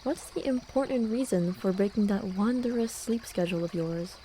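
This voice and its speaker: A woman's voice: mid-range voice, moderate pace, warm tone, a little hiss or hum, natural voice. A young woman acting a playful, teasing student friend in a casual, intimate ASMR roleplay. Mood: mock disappointed, teasing. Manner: casual, teasing, with sighs and chuckles. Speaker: voice actor. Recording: running and trickling water behind voice. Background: hot spring water ambience.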